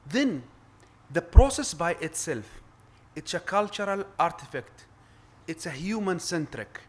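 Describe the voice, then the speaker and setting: A man's voice: on the high side, very animated, a little slow. A middle-aged man with an Arabic accent speaking as an arbitrator at a conference panel, earnest and emphatic, often restarting and repeating words. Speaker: arbitrator and lawyer.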